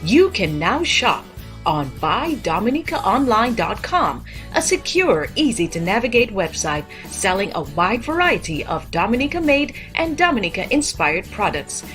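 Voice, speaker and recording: A woman's voice: medium pitch, sing-song, moderate pace, a bit bright. A woman reading a scripted radio commercial as the announcer. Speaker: advertisement announcer. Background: music.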